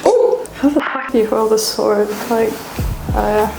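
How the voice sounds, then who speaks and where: A woman's voice: on the high side, measured pace, vocal fry, darkish tone, slight background noise. A young woman from Hungary, a tattoo artist, chatting casually with a client, laughing often and swearing. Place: tattoo studio, indoors. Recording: echoey room with music behind.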